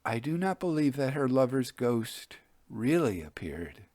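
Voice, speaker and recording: A man hosting and narrating old ghost stories in a measured, theatrical storytelling manner. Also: medium pitch, sing-song, a little mellow, no echo. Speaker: host and storyteller.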